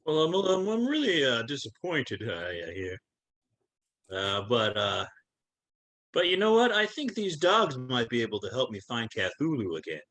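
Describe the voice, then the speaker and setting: This is a man with a mid-pitched but very lively voice that swings up and down, playing in a tabletop roleplaying game. He speaks hesitantly and stammers over repeated starts before he reaches the point. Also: a little slow. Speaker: player. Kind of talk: casual game talk.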